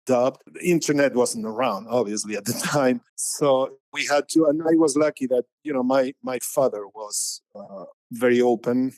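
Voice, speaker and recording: A man's voice: mid-range voice, normal speed, hardly any pauses, darkish tone, no room sound. A man in his sixties from Spain with a Spanish accent, a painter talking casually as an interview guest, rambling with many fillers and restarts. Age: 60s.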